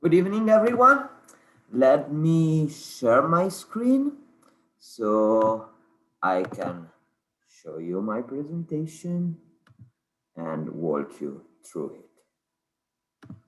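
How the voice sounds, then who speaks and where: A man from Italy with an Italian accent, a research scientist giving an informal presentation in halting, self-deprecating English. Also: medium pitch, huge pitch swings. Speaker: research scientist, guest speaker.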